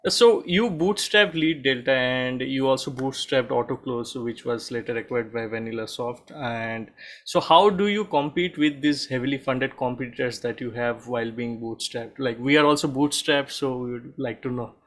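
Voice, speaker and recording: A male podcast co-host with an easygoing manner. His phrases start up and then trail off quietly. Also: mid-range voice, moderate pace. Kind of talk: casual podcast interview.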